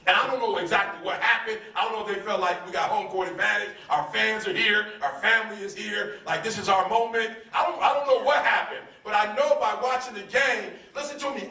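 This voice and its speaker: A man's voice: very high-pitched, sharp tone, distant mic. American male motivational speaker, emphatic and repetitive, heard a bit off-mic in a roomy hall. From United States. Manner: emphatic call-and-response preaching, repeats phrases. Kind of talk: live motivational speech. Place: large room with audience.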